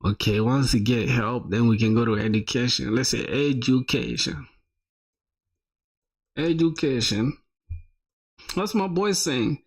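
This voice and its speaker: A man's voice: mid-range voice, a little slow, long pauses. A young man live-streaming, reviewing viewers' websites in a casual, slangy way, full of "bro" and "my boy".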